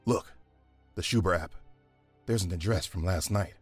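A man's voice: low voice, normal speed, husky, soft background music. A man playing an anxious, loyal police detective in an audio drama. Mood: concerned.

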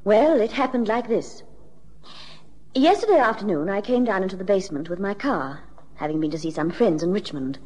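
A woman acting a husky, imperious radio-drama lady. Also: medium pitch, animated, normal speed, mellow, long reverb tail, close to an everyday voice, poor audio. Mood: resigned, guarded. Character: secretive, imperious female client of detectives.

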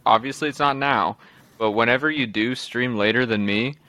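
A man's voice: medium pitch, normal speed, sharp tone, no room sound, rough recording. A man co-hosting a podcast, rambling and apologetic in casual chat, with many restarts. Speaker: podcast co-host, streamer.

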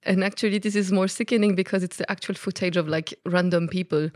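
A woman's voice: on the low side, steady tone, fast, a little mellow, dry recording. A woman from Belgium, a native French speaker with a Belgian French accent, explaining things candidly as a podcast guest in casual conversation.

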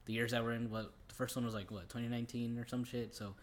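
A man's voice: mid-range voice, flat delivery, fast talker, slight background noise. A young man co-hosting a podcast, giving his take on films in a casual, slangy, laid-back way. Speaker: podcast co-host. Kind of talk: casual film review chat.

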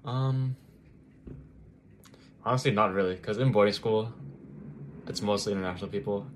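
A man's voice: fairly low pitch, normal speed, slight background noise. A young man from Thailand, an international college student, chatting easily in an interview and leaning on "like" fillers. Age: young adult. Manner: easygoing, heavy use of "like" fillers. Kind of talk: casual interview chat.

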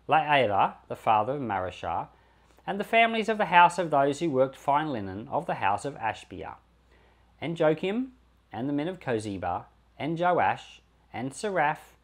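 A man reading scripture aloud as a pastor, measured and deliberate, phrase by phrase. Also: medium pitch.

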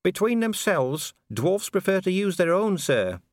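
Worried young dwarf lady read by a guy, audiobook, polite n deferential, really high pitched for him. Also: sing-song, unhurried, no echo, clean studio sound. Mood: earnest, explaining. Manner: polite, hesitant, explanatory. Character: earnest, anxious young female dwarf, deferential subordinate. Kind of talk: audiobook character dialogue.

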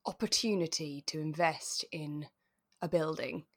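A woman co-hosting a relaxed discussion podcast, retelling things expressively in a conversational way. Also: low voice, measured pace, slightly rough. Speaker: podcast co-host. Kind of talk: relaxed chat reviewing a radio drama.

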